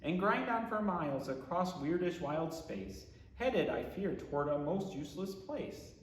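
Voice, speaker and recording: Echoey, animated man reading a storybook aloud. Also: high-pitched, measured pace. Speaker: pastor reading a children's book aloud. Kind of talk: expressive storybook read-aloud in rhyming verse. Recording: echoey room with long reverb.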